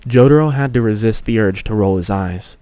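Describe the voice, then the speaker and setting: A man's voice: on the low side, brisk, mellow, clear, resonant tone, dry recording. A man hosting a fan fiction reading and narrating in a casual style. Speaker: host and fan fiction reader.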